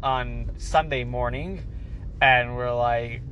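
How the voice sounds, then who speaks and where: A man's voice: mid-range voice, steady tone, slow, highs cut off, a little hiss or hum. Congested man rambling with a cold. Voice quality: nasal. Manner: rambling, stumbling restarts and repeated words. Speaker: podcast host. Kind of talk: casual solo chat.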